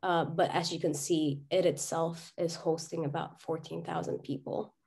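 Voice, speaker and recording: Young female doctor as guest presenter, lowish voice, slightly hoarse from a cold. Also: fairly even intonation, moderate pace, darkish tone. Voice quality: slightly raspy. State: getting over a cold, voice worn from chanting. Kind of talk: presentation with slides. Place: online video conference.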